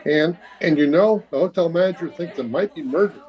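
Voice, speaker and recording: A woman's voice: on the low side, brisk, dull audio, natural voice. A woman playing a gossipy, nosy older hotel guest in an old-fashioned radio drama, chatty and fussy. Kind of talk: 1940s radio drama acting. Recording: faint chatter behind, video-call performance.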